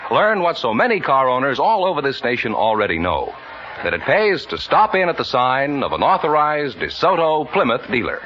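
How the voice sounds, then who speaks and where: A man's voice: medium pitch, sing-song, moderate pace. A man announcing for a 1950s radio show in a polished, projecting salesman's delivery. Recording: dull old radio recording, faint noise.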